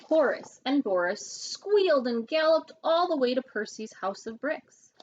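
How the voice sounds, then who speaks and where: Animated, high-voiced woman reading a storybook. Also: a little slow, lo-fi sound, a little hiss or hum. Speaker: storybook reader. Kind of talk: expressive children's read-aloud narration.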